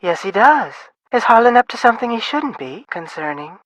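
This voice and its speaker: A woman voicing a brisk, guarded parole office receptionist in a game, with a clipped, businesslike manner. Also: on the high side, very animated, a little hiss or hum. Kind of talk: scripted game phone dialogue.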